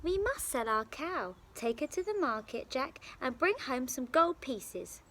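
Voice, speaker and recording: A woman's voice: sits high, very animated, unhurried, a bit bright, slight background noise, full character voice. A woman voicing a poor widowed mother in a storybook, motherly and emphatic. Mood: firm. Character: poor widowed mother of Jack, exasperated but loving.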